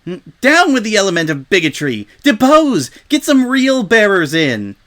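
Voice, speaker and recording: Man putting on high, shouting outraged unicorn townsfolk. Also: wildly swooping pitch, normal speed, full character voice. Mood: outraged, jeering. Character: angry, jeering mob of unicorn townsfolk ponies.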